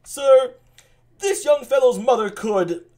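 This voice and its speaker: A bumbling old nobleman, bawdy and boastful, pitched high and swooping wildly up and down, as a man puts him on. He drags his words out slowly and booms them. It sounds like a home reading of a stage play. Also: lively intonation, dark tone, heavily acted. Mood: bawdy boasting.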